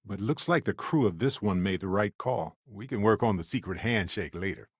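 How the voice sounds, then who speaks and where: An actor playing a wry, good-humored space explorer companion in a video game, talking in an expressive, conversational way. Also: male voice, fairly low pitch, normal speed, husky, dry recording, clean studio sound. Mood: playful, teasing. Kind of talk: video game character voice lines.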